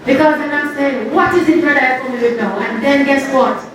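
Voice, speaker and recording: A woman's voice: sits high, normal speed. A Jamaican woman giving a funeral tribute, telling stories with sing-song emphasis. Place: church service. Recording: echoey church from far off, noisy.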